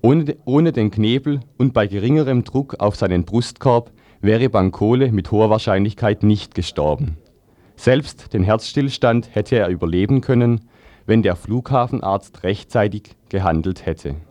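A man's voice: lowish voice, moderate pace, mellow, dry recording. A man reading scripted news on the radio as a newsreader. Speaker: news reader.

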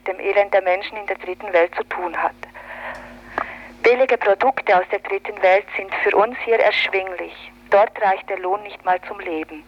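Woman reading radio commentary, dull lo-fi sound. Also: medium pitch. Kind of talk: scripted political radio commentary. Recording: dull lo-fi 1980s radio recording.

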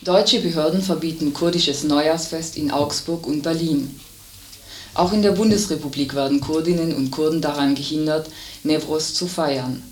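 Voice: medium pitch, steady tone, normal speed. A news reader delivering scripted radio news. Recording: roomy, distant, hissy radio recording.

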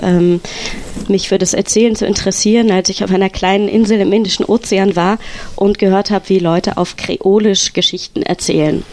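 Woman, storyteller, interview guest, medium-pitched voice, enthusiastic explanations, conversational. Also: a little mellow. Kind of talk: spontaneous conversational interview answers.

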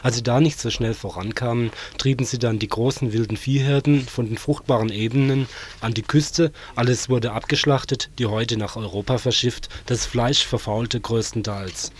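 A man reading a scripted background report on the radio in an even, matter-of-fact way. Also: medium pitch, moderate pace, slight background noise. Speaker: radio contributor.

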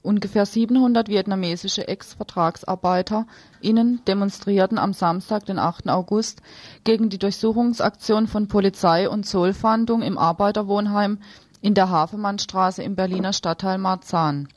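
Woman, radio news presenter, medium pitch, dry acoustic. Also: fairly even intonation, normal speed, darkish tone, dry recording.

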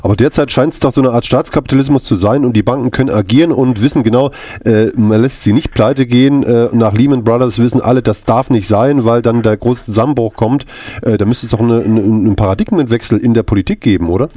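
A male radio interviewer with a mellow, warm voice poses questions in a halting way. He pauses and hums between phrases, and the sound is close and dry. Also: medium pitch, no room sound. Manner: hesitant questioning, many filler sounds.

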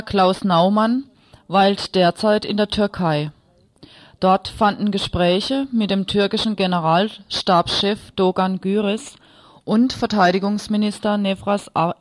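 Lady news presenter on radio, medium pitch, dry studio-like sound, reading a script. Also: dry recording.

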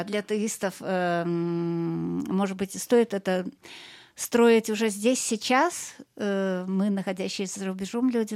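A woman with a medium-pitched voice, recorded dry with little room sound. She hosts a political conversation, putting her thoughts together in halting, considered phrases. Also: a little mellow, no room sound. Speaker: host. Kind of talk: political discussion, conversational interviewing.

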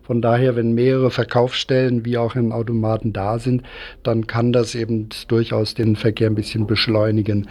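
Male interview guest, soft dark tone, hesitant explainer, activist speaking off the cuff. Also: medium pitch, little pitch movement. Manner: halting, explanatory. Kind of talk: unscripted radio interview.

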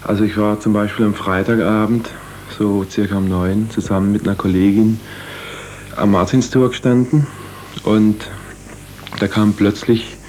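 A man, a medic, telling an eyewitness account in a halting way with many fillers. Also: fairly low pitch, steady tone, a little mellow, a little hiss or hum. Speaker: interviewee, medic.

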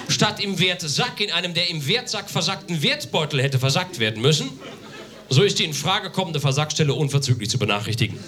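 A man from Germany doing a live comic reading on stage, deadpan and mock-formal with emphatic declamation. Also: fairly high pitch. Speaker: comedic reader on stage. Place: live on stage in a theatre café, audience laughter behind.

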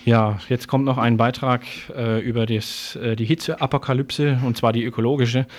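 A male radio presenter talks in a loose, conversational way. His intonation is flat and even, at an average male pitch. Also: moderate pace.

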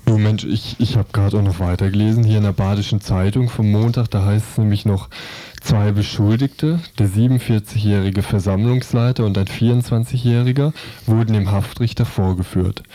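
A man's voice: on the low side, steady tone, a little fast, mellow, dry recording. A man co-hosting a radio press review, reading newspaper reports and commenting on them. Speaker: radio co-host.

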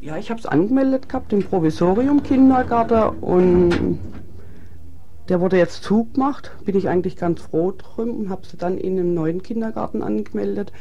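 A woman's voice: medium pitch, moderate pace, warm tone, dull audio, slight background noise. Woman explaining plainly. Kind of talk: casual chat.

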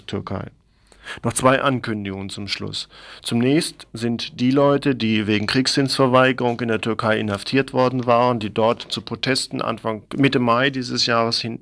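Low-voiced man reading radio news. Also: creaky, a bit bright, no echo.

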